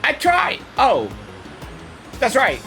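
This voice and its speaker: High, bright, lively young-sounding woman gamer. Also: takes it slowly, creaky. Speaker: gaming video creator reacting to a game. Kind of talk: casual live gameplay reaction. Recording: game music faintly behind the voice. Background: video game music.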